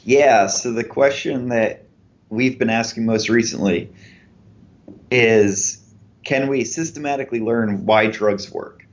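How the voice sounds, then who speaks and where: A man being interviewed as a researcher, explaining his work clearly and methodically with examples. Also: mid-range voice, slow. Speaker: researcher guest. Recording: dull lo-fi podcast audio.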